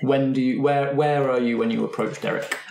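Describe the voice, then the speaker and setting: A man's voice: medium pitch, fairly even intonation, brisk, darkish tone, faint music behind. A man hosting a tabletop roleplaying game, narrating casually and improvising as he goes. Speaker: host and game master. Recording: roomy echo, clearly noisy background.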